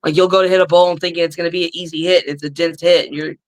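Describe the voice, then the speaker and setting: A middle-aged woman with a raspy voice, a wrestler guest chatting bluntly and crudely on a video call from her living room. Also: on the low side, fairly even intonation, racing, poor audio. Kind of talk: casual podcast chat.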